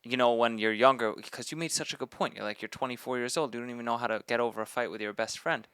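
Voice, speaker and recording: A man's voice: medium pitch, steady tone, quick pace, fairly bright tone, clean tone, no room sound, clean studio sound. A man in his twenties hosting a podcast, talking in a candid, reflective way. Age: 20s. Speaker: podcast host. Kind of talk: casual podcast conversation.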